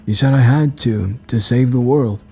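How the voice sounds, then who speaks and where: A man's voice: moderate pace, gravelly, mellow, faint music behind, close to an everyday voice. A man voicing a nervous, shaken male survivor who tries to sound brave, in an audio storybook. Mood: scared, meek. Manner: nervous, pitched above the narration.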